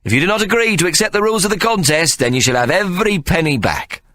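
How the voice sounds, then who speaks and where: A man's voice: high-pitched, expressive, quick pace, bright, forceful tone, pitched above the performer's own voice. A man voicing a sly, scheming male showman who announces grandly and wheedles. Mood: grand announcing.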